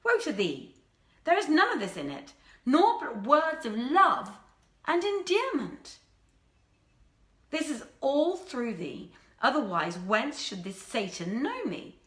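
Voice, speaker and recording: A woman's voice: high voice, sing-song, slow pace, a bit bright, roomy sound, voice pushed up, heavily acted. A woman voicing a haughty young princess in a dramatic storybook reading, imperious and indignant in archaic speech. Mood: indignant fury. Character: haughty young princess, quick to fury and scorn.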